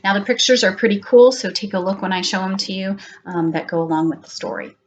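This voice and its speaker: A woman's voice: mid-range voice, fast talker. Woman reading a children's storybook. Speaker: storybook session reader. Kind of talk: read-aloud children's storytelling.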